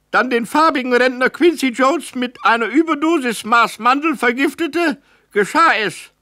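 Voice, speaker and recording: An actor playing a solemn, formal radio presenter, delivering mock documentary narration deadpan. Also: male voice, very high-pitched, no echo, studio quality, exaggerated voice. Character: solemn male radio music presenter reciting absurd biography.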